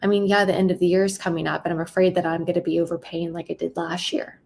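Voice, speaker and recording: Woman playing a hurried, hesitant sales prospect. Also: fairly low pitch, flat delivery, vocal fry, a little mellow. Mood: worried. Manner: hurried, filler-heavy talk.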